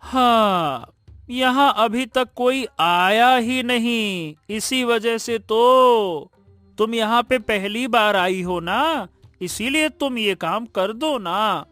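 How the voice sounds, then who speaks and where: A woman's voice: on the high side, extremely slow, a bit bright, no echo. A woman voicing a coaxing male talking parrot in a cartoon story, repeating invitations to follow. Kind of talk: cartoon moral story acting.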